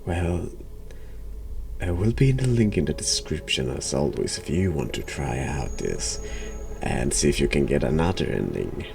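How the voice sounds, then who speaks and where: Very deep, creaky man, slow and halting. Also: big pitch swings, background noise. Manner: halting, hesitant, word-repeating. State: has a cold. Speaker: streamer and horror game reviewer. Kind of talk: casual game commentary.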